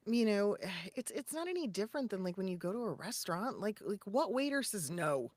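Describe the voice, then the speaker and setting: A woman's voice: medium pitch, big pitch swings, brisk, no room sound. A woman co-hosting a casual talk as a voice-over coach, making points emphatically and with rhetorical questions. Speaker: podcast co-host and voice-over coach. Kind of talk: casual conversational advice.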